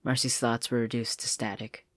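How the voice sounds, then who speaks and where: A woman reads fiction aloud as scripted narration. Her voice is extremely low for a woman. The recording is close and dry, with very little room in it. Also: level tone, normal speed, no room sound. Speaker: fan fiction reader. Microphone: close USB microphone.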